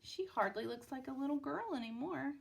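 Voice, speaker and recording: A woman with a fairly high voice reads a storybook aloud like a teacher. She is expressive and uses simple, child-directed phrasing. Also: a little fast, vocal fry. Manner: explains and asks in simple child-directed words. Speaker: teacher reading aloud for students. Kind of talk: expressive picture book read-aloud with questions to a child listener.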